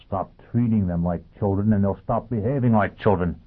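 A man's voice: on the low side, moderate pace, lightly acted. A man voicing a savage, fanatical fugitive in a science fiction audiobook, exhorting in short emphatic bursts. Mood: defiant. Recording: muffled, phone-like narrow band.

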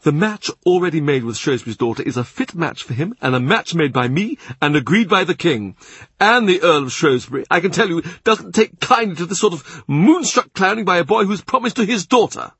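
A man voicing a husky, booming imperious cardinal. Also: expressive, a little fast, dry recording, exaggerated voice. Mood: indignant. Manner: forceful, imperious, sarcastic. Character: domineering, blustering powerful male cardinal.

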